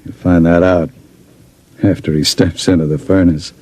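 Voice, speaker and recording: An actor playing a smug, menacing gang boss in an old-time radio crime drama, talking in a condescending way. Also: male voice, low voice, fairly even intonation, normal speed, darkish tone, dry recording. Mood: smug, reassuring.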